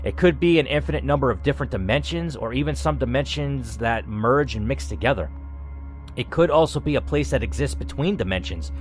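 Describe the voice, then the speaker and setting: A man voicing a brisk, sardonic woman scientist in a sci-fi horror game, terse and matter-of-fact. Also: medium pitch, lively intonation, faint music behind, natural voice.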